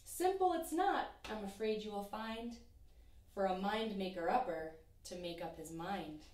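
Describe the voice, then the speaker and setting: A young woman teacher reading a rhyming children's picture book aloud in an expressive, sing-song way. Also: mid-range voice, unhurried, recorded from a distance. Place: small room in front of a painted backdrop. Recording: echoey room, voice from off-mic.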